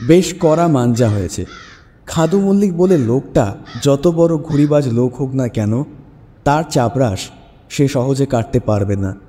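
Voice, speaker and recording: A male story narrator with a very dark, mellow tone at a medium pitch. He reads scripted dramatic prose as the host. His voice carries a long reverb over a music bed. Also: animated, measured pace.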